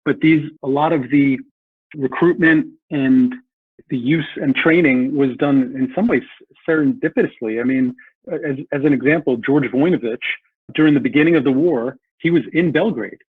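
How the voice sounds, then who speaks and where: A man's voice: medium pitch, moderate pace, slightly rough, no room sound. A man explaining history earnestly as a podcast guest, often repeating words as he thinks. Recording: tinny phone-like line.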